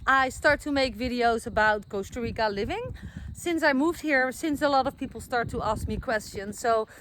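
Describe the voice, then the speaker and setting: A woman's voice: on the high side, normal speed, fairly bright tone, poor audio. Woman, Dutch accent, middle-aged, casual vlog, outdoors, fillers and restarts, faint background noise. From Netherlands. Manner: chatty, self-correcting, many fillers. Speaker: vlogger. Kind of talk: casual talk to camera. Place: outdoors, garden with trees being planted.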